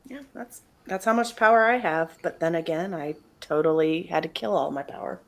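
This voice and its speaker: A woman's voice: on the low side, normal speed, vocal fry. A woman co-hosting a game stream, chatting in a matter-of-fact way and laughing easily. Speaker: co-host. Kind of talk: casual stream chat.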